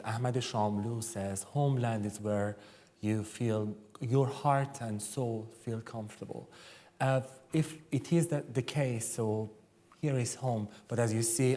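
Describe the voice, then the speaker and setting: A young man with an Iranian accent talks reflectively on a panel, Persian being his first language. His voice is mid-pitched and notably mellow, carried by the long reverb of a hall. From Iran. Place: radio theatre stage.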